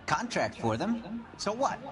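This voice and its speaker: An actor playing a defiant, bitter 1940s gas fitter in a video game, gruff and mouthy. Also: male voice, high-pitched, big pitch swings, measured pace. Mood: defensive. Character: defensive, bitter 1940s working-class male gas fitter. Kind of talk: dramatic video game acting.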